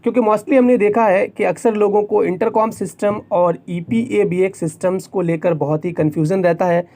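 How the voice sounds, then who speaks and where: A man's voice: high voice, steady tone, moderate pace, mellow. A man with an Indian accent presenting a tech tutorial, explaining things step by step and often repeating points for clarity. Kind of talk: explanatory tutorial, semi-scripted.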